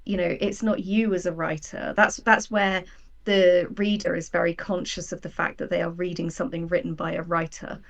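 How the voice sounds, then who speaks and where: A woman chatting casually as an interview guest, telling things in an animated, anecdotal way. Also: lowish voice, normal speed, gravelly. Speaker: guest, sci-fi writer.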